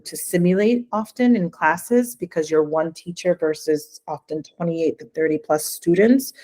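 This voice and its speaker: A woman's voice: lowish voice, a little mellow. A middle-aged woman from New Jersey, presenting in a webinar as an education consultant, enthusiastic and full of "um" fillers. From New Jersey, USA. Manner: enthusiastic, uses many "um" fillers.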